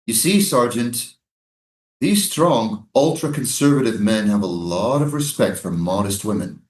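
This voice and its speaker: An actor in a live staged reading playing a menacing, insinuating American military commander at a prison camp. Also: male voice, mid-range voice, expressive, measured pace, darkish tone, roomy sound, a little hiss or hum, natural voice.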